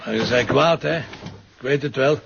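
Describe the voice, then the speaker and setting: A hungover, grumbling Flemish police commissioner in an audio drama. He is gruff, sardonic and affectionate, with a gravelly, creaky voice. The recording is dull, with faint noise. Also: mid-range voice, unhurried. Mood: coaxing, affectionate. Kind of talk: naturalistic audio drama acting.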